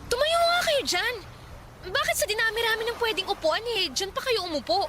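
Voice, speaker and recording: A woman's voice: extremely high pitch, expressive, a little fast, heavy background noise. A woman playing an indignant, embarrassed young daughter with a Filipino accent in a radio drama, scolding in exasperation. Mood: exasperated, scolding. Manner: sharp, exasperated. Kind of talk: Tagalog radio drama acting.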